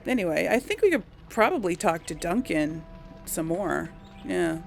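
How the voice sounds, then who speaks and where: A woman's voice: fairly low pitch, sing-song, moderate pace, gravelly, faint music behind. A woman giving casual gaming commentary and musing aloud hesitantly as she role-plays. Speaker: let's play host role-playing a game heroine.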